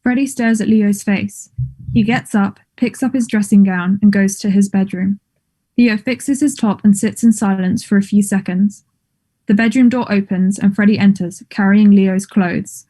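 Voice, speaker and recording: A woman with a mellow, mid-pitched voice narrates a play script. She reads out the stage directions for a table read. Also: fairly even intonation, normal speed.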